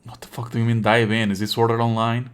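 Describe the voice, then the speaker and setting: A man giving live let's play commentary as a game streamer, expressive, sardonic and exasperated, swearing casually. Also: mid-range voice, fast talker, smooth.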